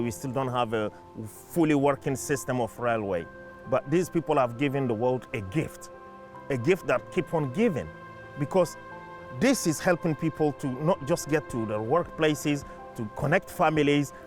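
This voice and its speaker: A man whose first language is French, talking in an earnest, measured and reflective way as a community campaigner in a documentary interview. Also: mid-range voice, soft background music.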